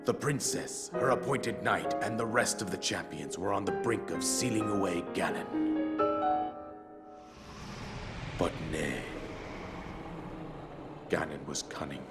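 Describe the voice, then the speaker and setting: A deep-voiced, grave old king. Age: older. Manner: grave, formal and measured. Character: old, grieving king, solemn and regal. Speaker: narrator of past events in a cutscene. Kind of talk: video game cutscene voice acting. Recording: game cutscene with loud orchestral score. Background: orchestral music.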